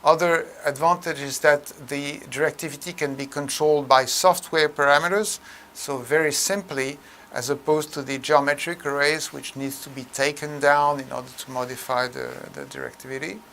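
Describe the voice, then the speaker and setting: A man presenting a technical tutorial in an explanatory way, with a halting, hesitant delivery full of mid-phrase pauses. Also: fairly high pitch, steady tone, gravelly, sharp tone, slight background noise.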